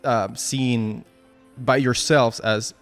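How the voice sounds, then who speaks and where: Spanish-accented man giving a halting pep talk. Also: medium pitch, steady tone, faint music behind. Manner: halting, many restarts and "right?" tags. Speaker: team lead giving a motivational talk. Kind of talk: informal motivational talk at a work meeting.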